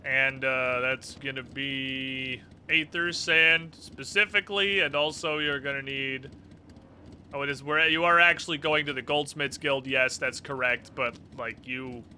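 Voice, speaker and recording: A man's voice: medium pitch, unhurried, bright, forceful tone, slight background noise. A man streaming a game live, improvising commentary in a sarcastic, sweary way.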